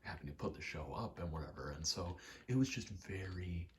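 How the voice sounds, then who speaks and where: A young man giving a soft-spoken, halting, reflective ASMR ramble, telling personal stories in a hushed voice close to the mic. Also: deep voice, lively intonation, slight background noise. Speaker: ASMR creator.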